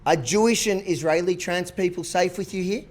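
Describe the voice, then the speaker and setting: A man's voice: high voice, moderate pace. A man reporting on the street, firing pointed, challenging questions. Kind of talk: confrontational street interview.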